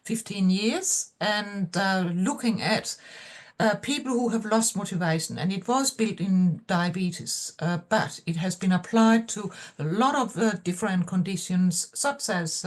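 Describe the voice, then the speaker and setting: A woman with a Danish accent and Danish as her first language, a professor presenting research in a seminar talk over a video call. She speaks hesitantly, with many pauses and fillers. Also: lowish voice, darkish tone.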